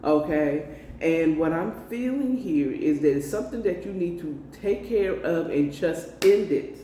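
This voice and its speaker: Low, slow-talking American woman in a roomy space. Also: mellow. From United States. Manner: emphatic, repetitive coaxing with frequent check-in tags. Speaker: tarot card reader. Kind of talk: casual spiritual card reading. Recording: roomy, distant mic with faint noise.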